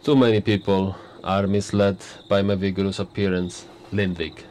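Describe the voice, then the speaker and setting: An actor playing a pompous, smug prime minister in a radio play, lecturing with boastful self-importance. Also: male voice, low voice, level tone, moderate pace, darkish tone, slight background noise, natural voice. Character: pompous arrogant male prime minister, middle-aged. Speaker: politician.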